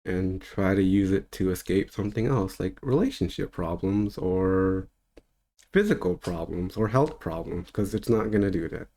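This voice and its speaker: Man, 30s, very low voice, casual spiritual-teacher monologue, meandering and relaxed. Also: normal speed. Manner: loose and rambling, with easy laughs.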